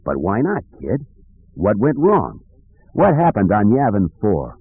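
A man's voice: on the low side, huge pitch swings, measured pace, husky, no room sound, narrow, tinny audio, heavily acted. A man voicing an urgent, loyal starship pilot with an emphatic, pleading manner in an audiobook.

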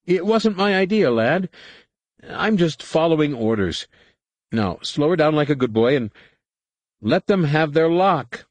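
A man performs an older co-pilot in a space adventure audiobook, a nervous turncoat who pleads and patronizes. The voice sits high and swings widely in pitch. The sound is dry, with no room around it. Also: animated, measured pace, no echo. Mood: smug, coaxing. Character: nervous defeatist older male co-pilot turned apologetic traitor.

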